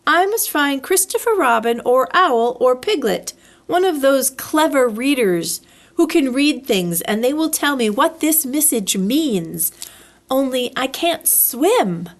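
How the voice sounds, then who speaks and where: A woman voicing a simple, earnest little male bear from a children's storybook, reasoning slowly aloud to himself. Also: high-pitched, animated, exaggerated voice. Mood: puzzled, musing. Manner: slow-witted, deliberate, talks things through to himself.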